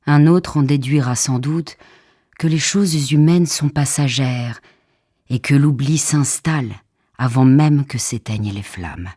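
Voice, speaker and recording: A woman reads poetry for an audiobook in a solemn, measured, expressive way, with deliberate pauses and hushed phrases. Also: extremely low pitch, slightly rough, no echo, clean studio sound. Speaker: poetry reader. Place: radio theatre studio. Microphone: close studio microphone.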